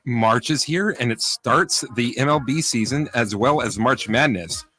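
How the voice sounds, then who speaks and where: Bright-voiced man on sports radio. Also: mid-range voice, animated, measured pace, faint music behind, poor audio. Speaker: radio show co-host posing questions. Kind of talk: casual sports chat.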